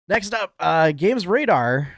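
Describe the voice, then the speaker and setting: A young adult man hosting a casual gaming news podcast, talking in a relaxed way with lots of fillers, a little nasal from a cold. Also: sits high, creaky, no room sound, studio quality. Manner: laid-back, many fillers and restarts. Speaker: podcast host.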